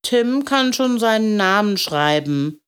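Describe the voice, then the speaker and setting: A woman's voice: medium pitch, animated, a little slow, pitched above the performer's own voice, lightly acted. A woman voicing an earnest, easily downcast little schoolboy in a storybook, with a solemn, childlike way of talking.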